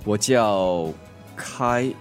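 A man's voice: lowish voice, drawn-out words, soft background music. A man acting a shy, awkward suitor in a radio drama, hesitant and stammering, with a Singaporean accent. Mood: nervous, hopeful. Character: shy, awkward man hoping to reconnect with a woman.

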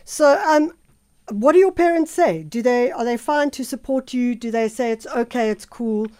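Woman radio host, mellow. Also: a little high, no room sound, clean studio sound. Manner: brisk, chatty questioning. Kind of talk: radio interview. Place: radio studio.

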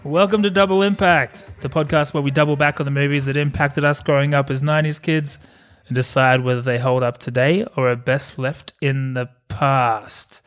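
A man's voice: mid-range voice, steady tone, moderate pace, clear, resonant tone, soft background music. A man in his thirties co-hosting a movie podcast in casual chat, joking and laughing often. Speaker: podcast co-host.

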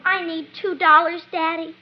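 A woman's voice: very high voice, little pitch movement, normal speed, a faint crowd. A woman playing a whiny, pleading young schoolgirl daughter who makes everything overdramatic, in 1940s radio sitcom style. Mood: distraught, pleading. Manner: overdramatic, plaintive, mispronounces big words. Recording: muffled, faintly noisy 1940s radio recording.

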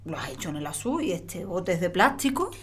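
A woman's voice: on the low side, sing-song, fairly quick, a bit bright, breathy edge, exaggerated voice. A woman playing a chatty, practical middle-aged mother with a mildly sardonic edge and an accent from Spain, in a naturalistic audio drama. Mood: grumbling, doubtful. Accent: Spanish from Spain.

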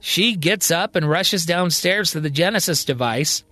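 A high-voiced man narrates a scripted serial audio drama as a storyteller. Prominent music plays behind his voice throughout. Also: a little slow, pushed and bright, no echo.

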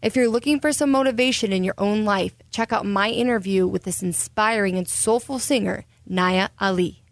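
A woman's voice: medium pitch, moderate pace, dry recording, clean studio sound. A woman hosting an interview, reading a scripted intro and then affirming her guest. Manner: affirming, encouraging listener. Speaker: interviewer and host.

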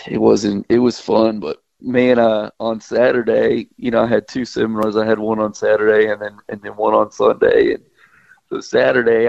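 A man calling in to a radio show, congested from a cold, telling stories in an animated way. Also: lowish voice, gravelly, dry recording. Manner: animated storyteller, chuckles. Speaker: fishing guide, guest caller. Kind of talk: casual radio chat. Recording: dull dry phone line.

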